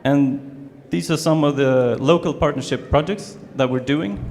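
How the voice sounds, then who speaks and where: A man's voice: a little high, moderate pace, darkish tone, slight background noise. A young man from Sweden giving a student presentation, hesitant with many fillers and restarts. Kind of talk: presentation to an audience. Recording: reverberant hall, faint ambience.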